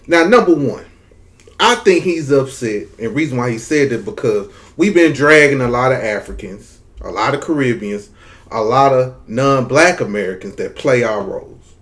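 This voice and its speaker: A man's voice: mid-range voice, animated, normal speed, a bit bright. A man from Mississippi with a Southern drawl, giving emphatic, repetitive opinion commentary straight to his viewers. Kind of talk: casual opinion commentary to camera.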